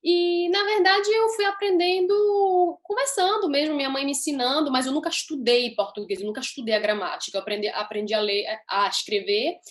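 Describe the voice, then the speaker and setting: A woman's voice: high-pitched, steady tone, brisk, hardly any pauses. A young woman from Italy, Italian her first language, an upbeat singer answering interview questions in chatty, laughing style.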